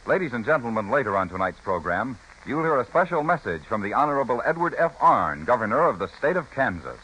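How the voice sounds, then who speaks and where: A man's voice: medium pitch, big pitch swings, fast talker, slightly rough, low-quality recording. An American man announcing in a formal, emphatic, portentous 1950s radio style. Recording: old radio, loud music behind. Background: loud theme music.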